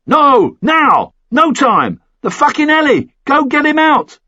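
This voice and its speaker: A man's voice: very high voice, big pitch swings, takes it slowly, bright, forceful tone, no room sound, muffled recording, pitched above the performer's own voice, exaggerated voice. A man voicing a hard, urgent soldier giving clipped, sweary orders in an audiobook thriller. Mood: urgent, shouting. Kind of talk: audiobook character dialogue.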